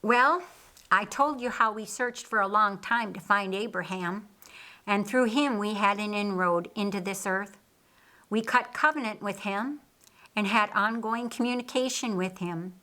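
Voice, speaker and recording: Lady reading a patient male teacher in an audiobook, explains things carefully, bright clear authority. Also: medium pitch. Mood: explaining. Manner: didactic, measured explaining. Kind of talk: audiobook character dialogue.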